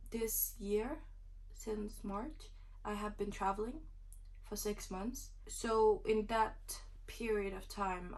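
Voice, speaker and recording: Soft-spoken young woman, English her third language. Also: mid-range voice. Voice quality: speaks English as a third language, a non-native speaker. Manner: rambling, many "like" fillers, phrase ends trailing off to a breathy whisper. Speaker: student vlogger. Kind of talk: casual chat to camera.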